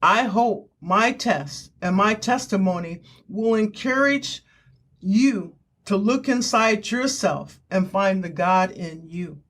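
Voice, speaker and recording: A woman's voice: medium pitch, animated, slow pace. An older woman giving an earnest spoken testimony in short, emphatic, preacherly phrases. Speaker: author giving her testimony.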